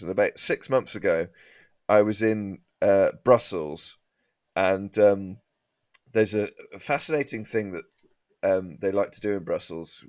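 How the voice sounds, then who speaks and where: A man telling comic anecdotes as a podcast guest in a halting, rambling way. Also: low voice, no echo, studio quality.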